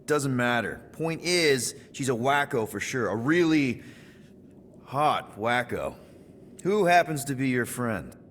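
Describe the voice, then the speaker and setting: A man's voice: mid-range voice, sing-song, slow pace, fairly bright tone, a little hiss or hum. An actor voicing a flippant but good-hearted space adventurer in a video game, casual and a little hesitant in his speech. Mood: joking, flippant. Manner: casual, joking, hesitant when sincere. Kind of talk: cinematic video game voice acting.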